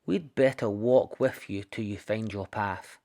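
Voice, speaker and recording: A man's voice: fairly low pitch, unhurried, vocal fry, warm tone. A man voicing a courteous, wistful old wolf in a children's storybook. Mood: courteous, gentle.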